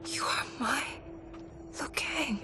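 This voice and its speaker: A woman's voice: sits high, takes it slowly, over music. An actress playing a devoted, regal warrior woman in a video game, earnest and formal. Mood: wistful, intimate. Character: regal, devoted warrior princess, wistful and resolute. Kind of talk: dramatic video game cutscene acting.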